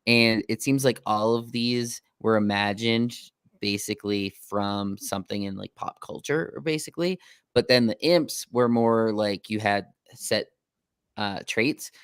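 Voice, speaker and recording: A man with a clear, fairly low voice works as a podcast interviewer. He talks informally and wanders through his questions, with a dry, close sound and no room to it. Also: a little slow, dry recording. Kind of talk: casual interview chat.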